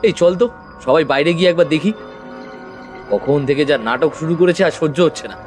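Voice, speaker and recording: A man's voice: fairly high pitch, a little fast, natural voice. An actor playing an impatient, irritable young city man in a horror audio drama. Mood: exasperated. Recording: loud music over the voice.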